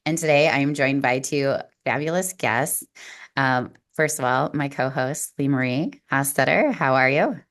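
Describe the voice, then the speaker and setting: Low-voiced, upbeat woman podcast host. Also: normal speed. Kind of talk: podcast interview.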